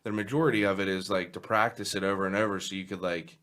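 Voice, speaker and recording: A hoarse man hosting a casual podcast, rambling with lots of filler and swearing. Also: deep voice, steady tone, quick pace, a bit bright. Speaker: podcast host.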